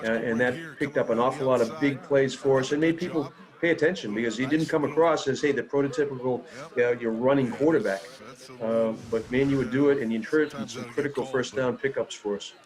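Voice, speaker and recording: An older man, a football coach, giving halting, casual commentary over game footage with many fillers. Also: medium pitch, crowd noise, low-quality recording.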